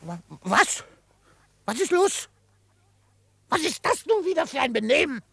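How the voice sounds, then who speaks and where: A man playing a quirky old frontier trapper in a radio drama, excitable and grumbling, gruff but fond, with a crackly voice. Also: very high voice, unhurried, no room sound, studio quality, full character voice. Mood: exasperated fondness. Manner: excitable, grumbling, chuckling, theatrically indignant. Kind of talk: German radio drama acting.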